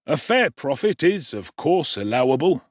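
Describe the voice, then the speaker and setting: Man playing a pompous parish beadle, slow weighty delivery, raised voice, dry close sound. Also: fairly high pitch, big pitch swings, no echo, pitched above the performer's own voice. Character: pompous self-important male parish beadle.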